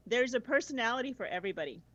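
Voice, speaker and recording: A woman's voice: a little high, lively intonation, racing, a bit bright, highs cut off. A woman guest chatting casually about tutoring, enthusiastic, laughing often and using many fillers. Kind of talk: casual conversational chat.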